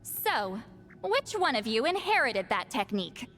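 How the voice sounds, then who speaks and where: A woman's voice: on the high side, big pitch swings, a little slow, a bit bright. A woman playing a blunt, irritable and condescending shaman granny who sounds youthful, in a video game. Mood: challenging, haughty. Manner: brusque, condescending. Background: background music.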